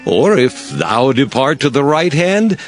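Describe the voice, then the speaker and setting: An earnest old patriarch from a scripture drama. He entreats in very slow, measured phrases, with pitch swinging widely from low murmurs to high rises. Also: sing-song. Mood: earnest, conciliatory. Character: Abram, earnest pleading older patriarch.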